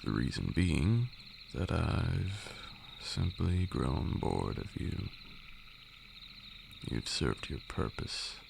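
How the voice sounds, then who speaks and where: A man playing a commanding vampire lord and magic mentor in a roleplay ASMR, with a gravelly voice and a deliberate, dryly sarcastic manner. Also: background noise, full character voice. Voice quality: gravelly, very deep, breathy at times. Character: stern, teasing old male vampire lord, mentor in magic.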